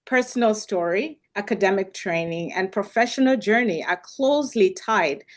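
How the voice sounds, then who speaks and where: A woman's voice: medium pitch, moderate pace. A woman from Uganda giving formal remarks over a video call, earnest, with frequent fillers and restarts. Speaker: health communication speaker.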